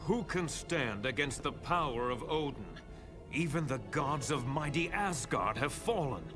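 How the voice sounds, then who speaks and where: An actor playing a noble, earnest thunder god in a video game, speaking in formal, heroic declamation. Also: male voice, on the high side, animated, unhurried. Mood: troubled. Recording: voice over prominent music.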